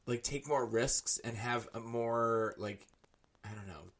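A man's voice: medium pitch, takes it slowly, a bit bright. A man in his thirties chatting casually as a podcast co-host, rambling along with bursts of emphasis. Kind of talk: casual chat reviewing a TV show.

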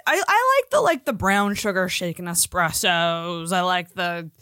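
A woman co-hosts a casual podcast in a bright, lively voice. She is chatty and emphatic, her intonation moves a lot, and she swears freely. The sound is close and dry. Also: fairly low pitch, unhurried, smooth, no room sound. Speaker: podcast co-host. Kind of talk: casual chat.

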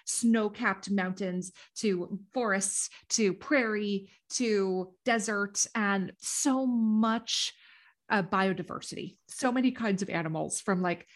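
A woman hosting a podcast, telling a history story in an enthusiastic, gushing, conversational way, with lots of affirming murmurs. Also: medium pitch.

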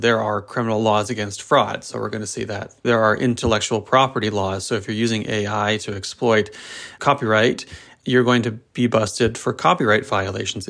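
A man's voice: mid-range voice, creaky, a bit bright. A man speaking as a guest on a panel discussion, wry and emphatic as he talks his points through.

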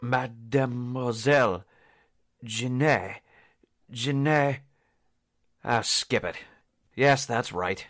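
A man playing a nervous, deferential waiter who poses as a Frenchman, halting, in 1940s radio drama style. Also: mid-range voice, fairly bright tone, dry recording, studio quality. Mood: flustered.

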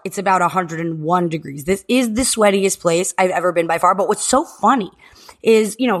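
A woman co-hosting a podcast from home, joking and riffing through casual banter with quick asides. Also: medium pitch, fairly bright tone. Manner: jokey, riffing, rapid asides. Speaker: podcast co-host. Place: home in Texas.

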